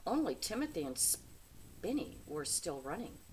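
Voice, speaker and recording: Animated, unhurried woman reading a children's story. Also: lowish voice, a little hiss or hum, poor audio. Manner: dramatic, emphatic phrasing for young listeners. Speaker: read-aloud storyteller. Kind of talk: expressive children's storybook narration.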